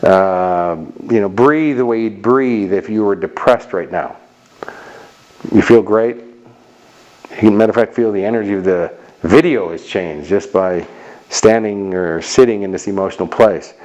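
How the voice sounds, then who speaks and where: A middle-aged man from Chicago with an American accent, giving a lively motivational talk and acting out short voices in his stories. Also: on the low side, unhurried, poor audio. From Chicago, United States. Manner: animated storyteller, acts out little voices and jokes. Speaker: motivational speaker.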